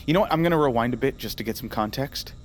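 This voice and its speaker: A man playing a flustered investigator in an audio drama, haltingly talking to himself as he works. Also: mid-range voice, lively intonation, slight background noise, close to an everyday voice. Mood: puzzled, excited. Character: flustered male investigator combing through old tapes.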